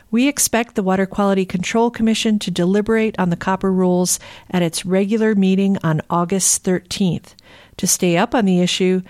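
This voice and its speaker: Community radio host reading an outro. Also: female voice, mid-range voice, darkish tone. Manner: smooth, scripted announcing.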